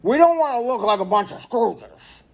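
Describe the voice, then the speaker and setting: Man playing an outraged neighborhood ringleader, storybook character, raised pitch, gruff, emphatic, indignant. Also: animated, moderate pace, exaggerated voice. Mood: firm.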